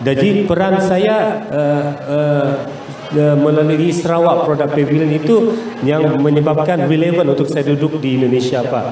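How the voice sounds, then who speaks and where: A man from Malaysia with a Malaysian accent gives explanatory interview answers as a business guest, pausing and searching for words. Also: on the high side, warm tone. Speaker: business guest, investor and trade promoter. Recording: echoey, distant mic with faint noise.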